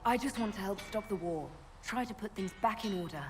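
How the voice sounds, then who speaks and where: A woman voicing a composed, wry and diplomatic elf hero in a video game, measured and gently teasing. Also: medium pitch, slight background noise, lightly acted. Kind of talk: video game character acting.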